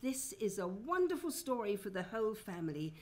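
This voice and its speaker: A woman's voice: medium pitch, big pitch swings, a little fast, a little mellow. A woman announcing and narrating in a theatrical, formal old-time radio show style.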